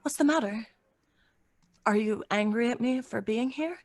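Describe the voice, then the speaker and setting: A woman's voice: a little high, moderate pace, a little mellow, lightly acted. A young woman playing an earnest, kind and fearless young girl in an old-time radio drama. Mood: prim, earnest. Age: young adult. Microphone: studio microphone with pop filter, over video call.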